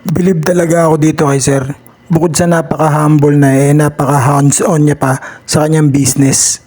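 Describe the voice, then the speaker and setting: A man's voice: on the high side, level tone, moderate pace, a little mellow. A man with a Filipino accent doing voice-over narration for a vlog. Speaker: vlogger.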